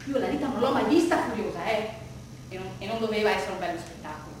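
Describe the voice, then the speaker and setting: A woman performing a theatrical monologue, telling a story dramatically with halting repetitions. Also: mid-range voice, animated, a little mellow. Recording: very echoey room with faint noise.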